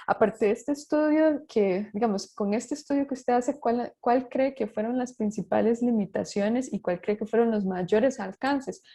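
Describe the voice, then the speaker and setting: A woman's voice: on the high side, warm tone. A woman moderating a question session, phrasing her questions in a rambling way while searching for words. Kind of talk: moderating a webinar Q&A.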